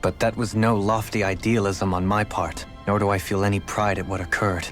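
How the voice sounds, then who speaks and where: A man voicing a refined, melancholy former lord in a video game, formal and eloquent, quick to blame himself. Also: fairly low pitch, level tone, a bit bright, natural voice. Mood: somber, self-blaming. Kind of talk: dramatic video game voice acting. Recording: music under the voice.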